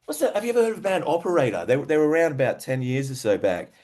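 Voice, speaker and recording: A man's voice: a little high, very animated, rapid-fire, a little mellow. A man with an Australian accent chatting casually as an interview guest, telling stories in a laid-back, slangy way with enthusiasm for music. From Western Australia.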